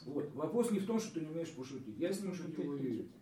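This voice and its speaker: Man, insistent debater, quick delivery, echoey room audio. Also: on the high side, very animated, recorded from a distance, a crowd in the background. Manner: argumentative, insistent. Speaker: emigrant discussion guest. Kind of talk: casual group discussion. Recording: roomy sound from off mic.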